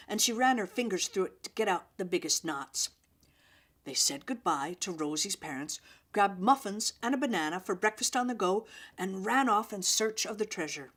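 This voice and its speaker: A woman's voice: mid-range voice, fairly bright tone. Woman reading a children's story, expressively.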